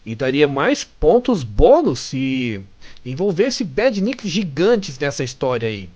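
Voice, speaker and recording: A man's voice: a little high, sing-song, slow, a little mellow, dull audio. A Brazilian man narrating a comic-story recap in a conversational, chatty way with amused asides. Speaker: narrator and fan translator of comic stories.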